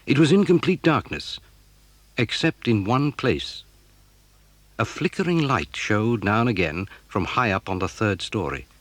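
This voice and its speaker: A man's voice: lowish voice, lively intonation, unhurried. A man with an English accent reading scripted storybook narration for a children's audio drama.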